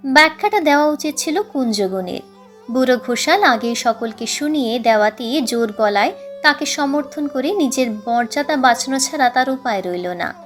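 High-voiced woman narrating a story. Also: animated, moderate pace, a bit bright, faint music behind. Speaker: audiobook narrator. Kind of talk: dramatic scripted story narration.

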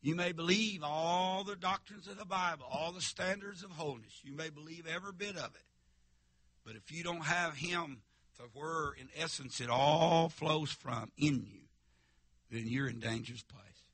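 A man preaching a fiery holiness sermon, with stammering restarts and shouts to the congregation. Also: a little high, normal speed. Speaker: pastor. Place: church sanctuary.

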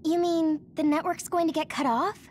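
A woman's voice: extremely high pitch, steady tone, normal speed, darkish tone, a little hiss or hum. An actress playing a worried young female soldier who speaks in a concerned, alert way. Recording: game cutscene with music behind.

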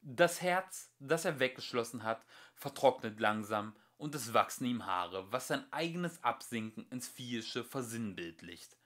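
A young man reading an audiobook as an amateur narrator, with expressive, emphatic delivery. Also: mid-range voice, measured pace, few pauses. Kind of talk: scripted audiobook narration of a fairy-tale commentary.

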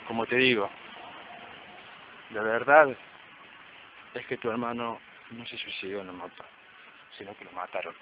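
A man's voice: on the low side, moderate pace, pauses often, lightly acted. An amateur man actor playing a guarded, grave hooded informant in a detective radio play. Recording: muffled, noisy amateur recording.

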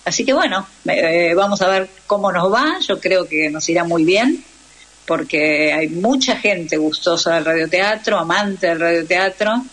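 A woman's voice: fairly low pitch, lively intonation, measured pace, fairly bright tone. A woman with an Argentine accent talking conversationally as a radio interview guest, explaining and often checking with tag questions.

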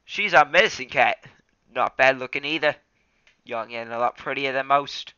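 A man's voice: fairly high pitch, animated, moderate pace, pushed and bright, clear, resonant tone, no room sound, pitched above the performer's own voice. A man reading aloud voices an eager, chatty young male apprentice cat, in a dull lo-fi recording.

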